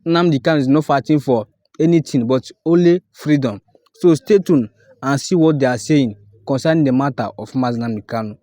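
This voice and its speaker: A Nigerian man hosts his channel's video intro, mixing English with Pidgin in an earnest, repetitive appeal. His voice is dark and mellow, recorded dry and close with no room sound. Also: mid-range voice, fairly quick, dry recording. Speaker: YouTube channel host.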